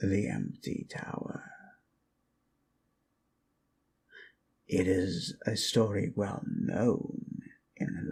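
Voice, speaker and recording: A man voicing a courteous, rambling undead lich healer and storyteller in an ASMR fantasy roleplay, unhurried, musing and formally polite, with a gravelly, sometimes breathy voice. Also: sits low, slight background noise, heavily acted. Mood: solemn storytelling.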